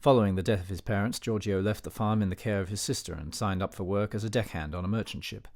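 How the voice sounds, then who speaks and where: A man acting as an educated, disapproving older gentleman narrating a memoir in a radio play, measured, formal and dignified. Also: fairly low pitch, natural voice. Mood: measured, reflective.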